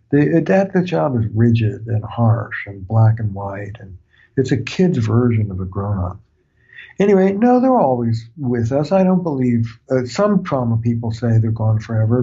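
Older male therapist guest, mellow, husky, earnest explainer, slightly dull audio. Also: medium pitch. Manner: earnest, explanatory, uses analogies. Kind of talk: reflective interview talk.

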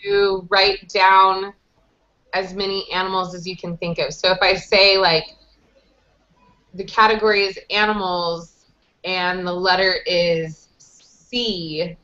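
A woman's voice: lowish voice, level tone, slow pace, bright, forceful tone. Woman teaching on a lo-fi video call. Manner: friendly, patient, explains rules step by step. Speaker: English conversation teacher. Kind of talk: casual online group lesson. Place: small indoor room with whiteboard. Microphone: webcam video call. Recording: dull lo-fi video call audio.

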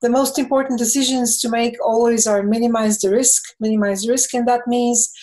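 A woman's voice: fairly high pitch, level tone, a little mellow. Bulgarian-accented woman in her fifties, thoughtful interview guest on a webcam call. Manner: thoughtful, fills pauses with "you know". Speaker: film and game composer, guest. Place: home room with bookshelves. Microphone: webcam on video call.

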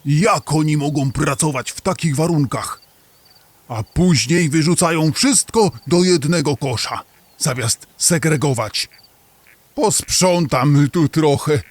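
Brief: man acting a nosy, grumbling waste-site worker in a kids' audio play, slow, talking to himself. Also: a little high, no echo. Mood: grumbling, curious. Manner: muttering to himself. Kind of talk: children's audio drama acting.

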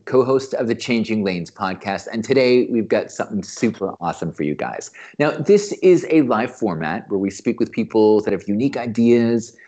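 A man's voice: lowish voice, mellow. A middle-aged man co-hosting a podcast over a video call, reading an upbeat intro and asking questions. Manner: upbeat, presenter-like. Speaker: podcast co-host. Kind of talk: scripted intro then interview questions. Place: living room. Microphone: video call with earphones.

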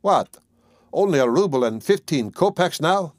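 A man's voice: medium pitch, expressive, normal speed, darkish tone, dry recording, studio quality, close to an everyday voice. A man voicing a poor, tense young man who talks in a terse, guarded, brooding way.

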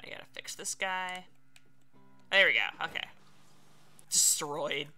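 A woman's voice: low voice, lively intonation, slow pace, bright, forceful tone, faint music behind. A woman doing casual Let's Play commentary, chatting and thinking aloud as she plays. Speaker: streamer playing a video game.

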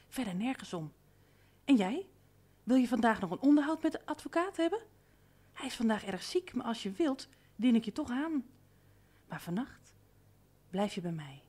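Housemaid for radio play: cajoling, possessive, familiar, richly varied intonation. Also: female voice, a little high, dark tone, husky. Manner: cajoling, intimate. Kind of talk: radio drama acting.

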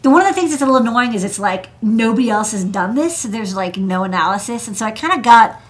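A woman's voice: on the high side, lively intonation, fast talker. An American woman hosting a technical livestream, chatting informally about electronics with plenty of casual asides. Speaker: host, electronics engineer. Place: indoor desk workspace.

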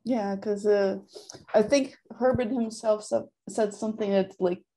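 A middle-aged woman with a soft-edged, mellow voice joins a casual discussion over a video call. Her pitch is average, and she gropes for words, starting and restarting her thoughts. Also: steady tone. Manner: tentative, halting, filler-heavy. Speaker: audience participant. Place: indoor room on a video call.

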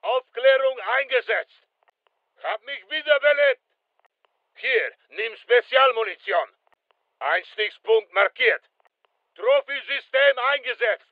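Disciplined game soldier, slow measured short callouts, thin narrow phone-like sound. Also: male voice, very high voice, animated, dry recording, exaggerated voice. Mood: terse, focused. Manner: terse, clipped phrases. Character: terse, disciplined male soldier reporting to his squad. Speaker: military game character. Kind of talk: video game combat callouts.

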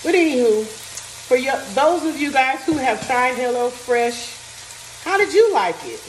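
A woman's voice: high-pitched, sing-song, measured pace. Chatty woman cooking in an echoey kitchen. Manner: chatty, thinks aloud, reads recipe steps. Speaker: cooking vlogger. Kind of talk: casual cooking vlog chat. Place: home kitchen. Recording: echoey kitchen, mic at a distance, frying noise. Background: sizzling and stirring in a pan.

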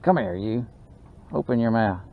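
A man giving excited running commentary while fishing from a small boat on a lake, recorded on a boat-mounted action camera. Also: fairly low pitch, normal speed. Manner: excited, drawling exclamations and mutters to the fish. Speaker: fishing channel host. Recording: dull action-camera audio with faint noise.

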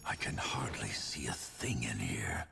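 Grim, deep gravelly male hero. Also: lively intonation, unhurried, bright, forceful tone, whispered, faint music behind, full character voice. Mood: wary, brooding. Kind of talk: scripted fantasy game dialogue.

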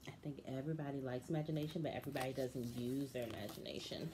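A woman's voice: rumbling low, fast talker, noisy background. A woman in her thirties at home in a bedroom, patiently guiding and correcting her daughter during storytime. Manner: patient, corrects words gently. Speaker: mother reading with her daughter. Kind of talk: casual storytime coaching. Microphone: webcam or phone camera close by.

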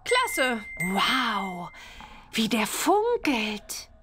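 Eager little witch girl for a cartoon game, high voice, bubbly, unhurried. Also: big pitch swings, bright, forceful tone, husky, exaggerated voice. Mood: delighted. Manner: exclamatory, wide-eyed, bubbly.

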